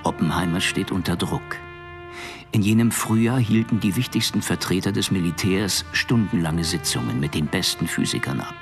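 Very deep-voiced male drama narrator. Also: moderate pace, over music. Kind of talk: scripted audio drama narration.

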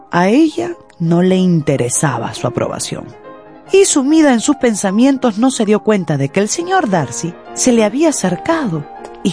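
Woman narrating a radio novel, expressive, mellow voice, lots of intonation, music behind. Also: mid-range voice. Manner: expressive storytelling. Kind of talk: scripted radio drama narration.

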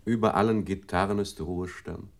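An actor playing a computer voice in a radio play, reciting verse in a measured, formal way. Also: male voice, low voice, steady tone.

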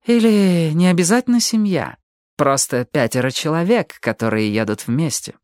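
A woman's voice: sits low, expressive, moderate pace, a little mellow, dry recording, studio quality, voice pushed up. A woman voicing a self-assured young man in an audiobook, nonchalant and slyly condescending. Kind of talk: audiobook character voice.

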